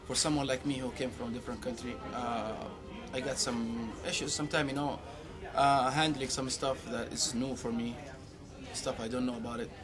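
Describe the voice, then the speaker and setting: A man's voice: medium pitch, steady tone, moderate pace. A young man from Saudi Arabia with a Saudi Arabian accent, giving an interview as a graduate student. He tells stories in a joking way, with lots of fillers and restarts. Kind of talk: casual interview storytelling. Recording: music playing behind the voice.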